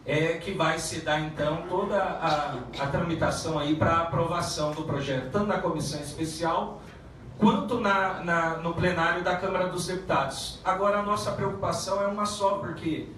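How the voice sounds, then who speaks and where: A man's voice: fairly high pitch, fairly bright tone, slight background noise. Echoey, far-off man speaking at a meeting. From Paraná, Brazil. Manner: halting, many restarts and fillers. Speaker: federal deputy. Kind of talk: public speech at a meeting.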